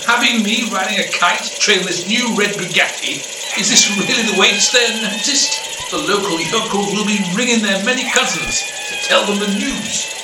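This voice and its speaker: An amateur actor playing a prim, complaining ghost sidekick in a comic radio play, fussy and droll. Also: male voice, high voice, normal speed, heavy background noise, close to an everyday voice. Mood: peevish, droll. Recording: echoey, distant recording with music behind.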